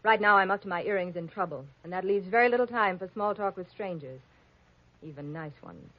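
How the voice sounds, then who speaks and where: Curt mystery woman, acted by a woman, brisk, muffled old radio sound. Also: medium pitch, close to an everyday voice. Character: mysterious young woman in trouble. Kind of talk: 1940s radio drama acting.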